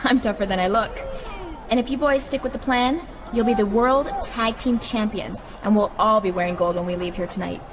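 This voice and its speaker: A woman's voice: medium pitch, brisk, natural voice. An actress playing a flirtatious, manipulative female wrestling champion in a video game, sugary and coaxing, in noisy audio. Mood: confident.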